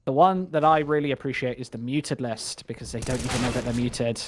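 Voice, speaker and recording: A man's voice: medium pitch, brisk, noisy background. A man doing live play-by-play esports commentary, joking and wry in his banter. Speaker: esports caster.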